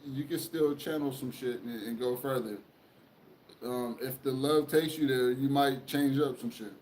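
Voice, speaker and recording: A man's voice: mid-range voice, unhurried, a little mellow, a little hiss or hum, low-quality recording. A young man hosting a casual chat, talking loosely and profanely with lots of rambling. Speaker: podcast host.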